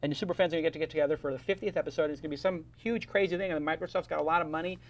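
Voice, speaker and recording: A middle-aged man hosting a live startup show, joking and talking fast in bursts. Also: a little high, fairly even intonation, muffled recording. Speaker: podcast host.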